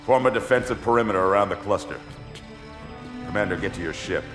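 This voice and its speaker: A man playing a deep-voiced stern fleet admiral. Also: quick pace, music in the background, lightly acted. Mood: commanding. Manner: commanding, clipped military orders. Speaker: fleet commander. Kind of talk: cinematic game cutscene acting. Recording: roomy mix with loud score.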